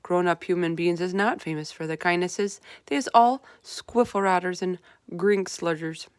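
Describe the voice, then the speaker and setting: A woman's voice: on the low side, moderate pace, smooth, no echo, clean studio sound, natural voice. A woman voicing a stubborn, kindly male giant from a children's storybook, folksy and ungrammatical with mangled words. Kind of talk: children's storybook read-aloud.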